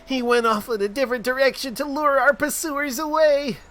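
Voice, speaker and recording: A man voicing a small, frightened young man who is jittery and whiny, reading his lines in a high, anxious, pleading voice. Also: fairly even intonation, brisk, fairly bright tone.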